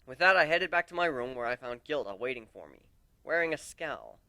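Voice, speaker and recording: A man reads a fantasy story aloud as its narrator, with a very animated pitch. His delivery is conversational and dramatic, recorded close and dry. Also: a little fast, dry recording. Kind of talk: dramatic first-person story reading.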